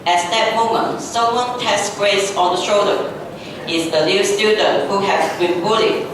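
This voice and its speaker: A woman's voice: medium pitch, measured pace. A woman reading scripted narration for a readers' theatre story. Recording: very echoey room, distant mic, noisy.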